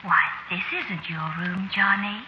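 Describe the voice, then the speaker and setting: A woman's voice: medium pitch, expressive, unhurried, a little hiss or hum, natural voice. A woman playing a serene, ghoulish figure in a vintage radio horror play, sweetly matter-of-fact as she speaks of the dead. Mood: eerily taunting. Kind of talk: vintage radio drama acting. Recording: reverberant, dull vintage radio recording.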